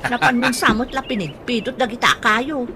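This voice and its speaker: A woman performs a complaining village wife in an Ilocano radio comedy, her voice fairly high. She scolds and grumbles with an Ilocano accent. Clear ambient noise sits behind her. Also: moderate pace, pushed and bright. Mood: scolding. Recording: noisy radio drama with ambience.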